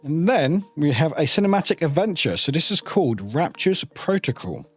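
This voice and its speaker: A man's voice: fairly high pitch, sing-song, moderate pace, soft background music. A man presenting an unboxing in a casual show-and-tell style, with informal, loosely phrased and appreciative commentary. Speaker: product reviewer, unboxing host. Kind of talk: casual show-and-tell commentary, sometimes reading blurbs aloud.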